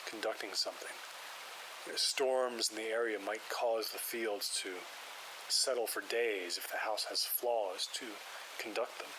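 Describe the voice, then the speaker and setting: A man playing a calm, skeptical paranormal investigator who explains things in a measured, clinical way, with rain and hiss behind him. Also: on the low side, close to an everyday voice. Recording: loud rain noise and hiss.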